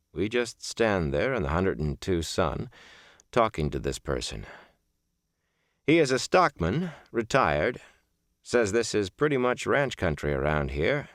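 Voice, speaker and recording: A man narrates a reflective audiobook in a very low voice. His phrasing is deliberate and measured, with lively rises and falls in pitch. The recording is close and dry. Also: dry recording. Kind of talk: scripted audiobook narration of a reflective first-person memoir novel.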